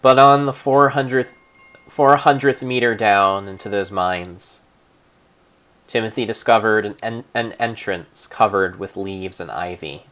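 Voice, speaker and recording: A man narrating a video game as a dramatic story, in a theatrical, deliberate, mock-solemn manner. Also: on the low side, a bit bright.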